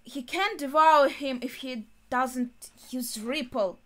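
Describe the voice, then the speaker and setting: A young woman reacts casually to a show she is watching. Her voice sits fairly high and has a bright, clear-cut edge. She speaks slowly, working things out aloud in short, halting phrases. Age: young adult. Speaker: anime reaction host. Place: small bedroom indoors.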